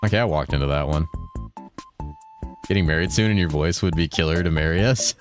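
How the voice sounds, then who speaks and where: A young man streaming a video game, chatting casually with his viewers in a relaxed, rambling way, with a deep voice he holds slightly higher than its natural pitch. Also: fairly quick, soft background music. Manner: laid-back, rambling, swears a lot, reads chat aloud. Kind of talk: casual live-stream chat while gaming.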